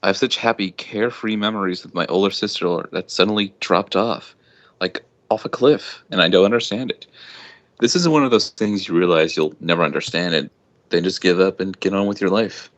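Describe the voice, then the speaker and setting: A man reading a first-person story aloud informally, halting now and then with loose asides. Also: sits low. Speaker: amateur fan fiction reader. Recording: dull lo-fi home recording.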